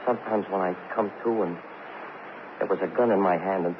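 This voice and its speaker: A muffled, hissy vintage radio recording carries an actor as a down-and-out drunk man. He talks in halting street slang, circling back on his words, pleading and sorry for himself like someone cornered. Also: sits low, steady tone, lightly acted. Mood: anguished, pleading. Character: rambling, self-pitying gutter drunk man, cornered and pleading. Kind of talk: 1950s radio crime drama acting.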